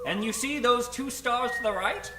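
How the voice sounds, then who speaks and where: A man's voice: very high voice, normal speed, roomy sound, background noise. A man acting a fussy, genteel, easily flustered professor in an old-time radio play. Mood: courtly. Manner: fussy, flustered, ornate exclamations. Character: bumbling, genteel, excitable male science professor.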